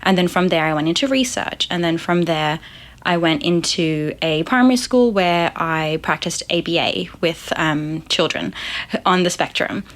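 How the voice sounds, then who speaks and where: Low-voiced Australian woman psychologist. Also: moderate pace. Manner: reflective, with frequent ums and soft laughs. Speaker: psychologist guest. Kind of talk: casual interview chat.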